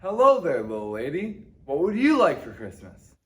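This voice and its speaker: A cheery, folksy Santa's helper acted by a man makes a smooth-talking sales pitch for old-time radio. His fairly high voice swoops expressively over faint music. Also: wildly swooping pitch, moderate pace, a bit bright. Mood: cheery, salesy.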